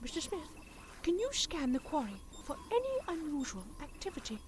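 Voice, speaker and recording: Woman acting a brisk motherly heroine in an audio drama, really high lively voice, earnest, noisy audio. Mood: pensive. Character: motherly, brisk female alien-fighting adventurer. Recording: clearly noisy background.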